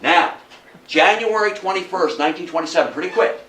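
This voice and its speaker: American man, public lecturer, higher-pitched voice, emphatic delivery with repetition, recorded in an echoey room. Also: fairly quick, bright, forceful tone. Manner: emphatic, repeats for effect, engages listeners with questions. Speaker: guest lecturer. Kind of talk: public history lecture to a live audience. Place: indoor room in front of an audience. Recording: roomy, distant mic, faint background noise.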